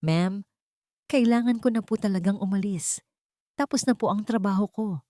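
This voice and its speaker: A woman's voice: medium pitch, normal speed, no room sound, lightly acted. Female narrator as secretive infiltrator, bold but controlled, Filipino accent, audiobook dialogue, dry recording. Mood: firm, urgent. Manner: controlled, pretending calm. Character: bold, secretive infiltrator named Ron, keeping calm. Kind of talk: dramatic audiobook character dialogue.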